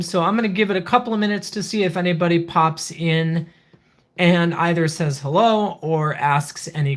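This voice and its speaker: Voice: mid-range voice, fairly bright tone. Methodical livestream host reviewing gear. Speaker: video channel host, product reviewer. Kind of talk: casual livestream product review and channel update.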